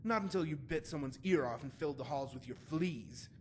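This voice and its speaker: A man acts out a haughty, prickly bard from a fantasy video game. His voice sits slightly high for a man, and he speaks in florid, pompous phrases full of scorn. A faint rumbling noise runs underneath. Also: a little fast, lightly acted. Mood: indignant. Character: haughty, prickly, wounded-pride male poet and bard. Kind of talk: scripted fantasy game dialogue.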